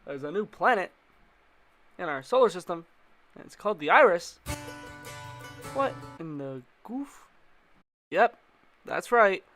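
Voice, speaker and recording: A man with a slightly high voice narrates an explainer video. He keeps it informal and conversational, dropping slang and playful asides into his commentary. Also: sing-song, measured pace, long pauses, fairly bright tone, soft background music.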